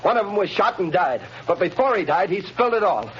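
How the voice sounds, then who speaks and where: An actor playing an excited messenger in a 1930s radio drama, talking in an urgent rush. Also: male voice, high-pitched, slight background noise. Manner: urgent and rushed. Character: breathless, excited male prison messenger. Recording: muffled 1930s radio broadcast.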